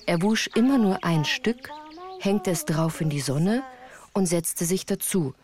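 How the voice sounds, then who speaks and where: An actress playing a woman who recalls her village childhood, narrating in measured, reflective literary prose for a radio play. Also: lowish voice, expressive, darkish tone. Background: music.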